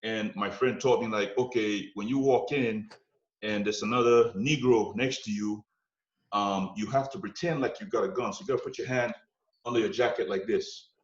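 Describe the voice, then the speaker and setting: A man casually telling long personal stories, animated and conversational, often checking in with "you know what I'm saying.". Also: lowish voice, moderate pace. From United States. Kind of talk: casual storytelling chat. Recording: roomy, dull lo-fi podcast sound.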